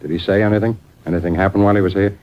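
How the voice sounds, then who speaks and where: An actor playing a coldly menacing gangster boss, terse and controlled, in a 1950s radio crime drama. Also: male voice, deep voice, steady tone, rapid-fire, natural voice. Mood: cold menace. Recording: muffled, noisy vintage 1950s radio recording.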